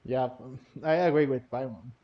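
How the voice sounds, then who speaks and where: A man giving casual game playthrough commentary in a halting, repetitive, giggly way, on a lo-fi mic. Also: medium pitch, fairly even intonation. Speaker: gaming video host. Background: faint game music.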